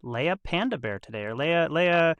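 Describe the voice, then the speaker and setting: A man hosting a podcast, interviewing casually with an excitable, gushing manner. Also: fairly high pitch, moderate pace, no room sound, muffled recording. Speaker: podcast host.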